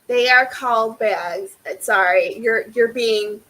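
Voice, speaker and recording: A woman from Illinois with a Midwestern American accent, chatting casually and haltingly as a livestream co-host. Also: on the high side, sharp tone, low-quality recording.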